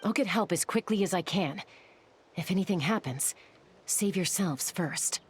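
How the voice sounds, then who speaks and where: A woman's voice: fairly low pitch, moderate pace, a little hiss or hum, lightly acted. An actress playing a cautious, level-headed woman in a video game, thinking things through aloud. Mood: urgent. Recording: game audio with music behind. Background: game music.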